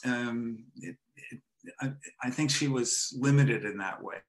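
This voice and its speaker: An older man from Montreal, a photographer and artist, talking in a hesitant, reflective way with many pauses and restarts, on a headset over a video call from home. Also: mid-range voice. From Montreal, Canada. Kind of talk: casual interview conversation. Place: home room with framed photo, indoors.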